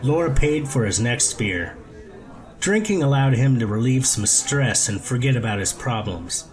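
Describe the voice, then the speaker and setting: A man reads a story aloud as an audiobook narrator. His pitch sits in the middle range for a man. The delivery is scripted prose narration. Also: animated, moderate pace, poor audio. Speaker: audiobook reader.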